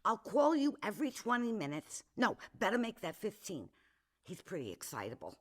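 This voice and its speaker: An actress playing a tough, worldly, wisecracking office pal in a comedic radio drama, with slangy, salty delivery. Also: female voice, mid-range voice, huge pitch swings, moderate pace. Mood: scheming, confident.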